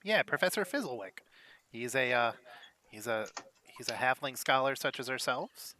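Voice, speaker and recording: A man's voice: medium pitch, animated, moderate pace, bright, forceful tone, a little hiss or hum. A man voicing a boastful male halfling musician who rambles and teases. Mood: chatty. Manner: rambling, teasing, fond of jokes.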